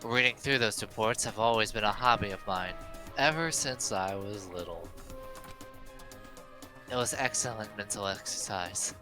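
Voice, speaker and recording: Smug young male aristocrat , played by a man, declaiming in a lowish sharp voice over faint game music. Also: moderate pace. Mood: self-satisfied. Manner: pompous, declaiming. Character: haughty, pompous young heir relishing a murder mystery.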